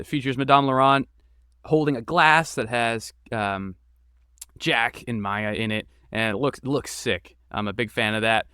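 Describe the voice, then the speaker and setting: A man who creates and voices games, answering interview questions in a thoughtful, relaxed way with many fillers. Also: mid-range voice, smooth, no echo, clean studio sound. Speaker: game creator and voice actor. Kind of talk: podcast interview guest.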